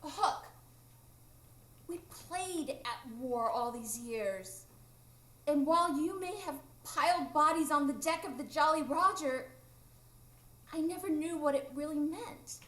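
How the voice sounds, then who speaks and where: A woman's voice: high-pitched, takes it slowly, long pauses, a bit bright. A woman playing an earnest, haunted boy adventurer in a live radio drama. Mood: anguished. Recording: echoey live theatre room.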